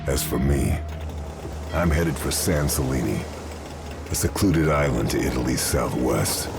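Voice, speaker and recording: A man playing a grim, determined wartime secret agent in a video game, narrating in a terse, deadpan, deliberate way. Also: extremely low pitch, gravelly, background noise, full character voice. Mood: grim, measured. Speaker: protagonist and narrator. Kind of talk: scripted cinematic game narration.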